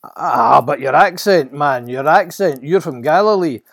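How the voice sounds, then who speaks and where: A man's voice: on the high side, a little slow, fairly bright tone, voice pushed up. A man voicing an accusing bystander in a Bible story, pointed and insistent.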